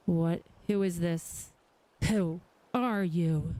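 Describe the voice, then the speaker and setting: A woman's voice: lowish voice, very slow, mellow, no room sound. A woman game streamer reacting casually on a livestream in a gushing, goofy, playful way. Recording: faint music behind.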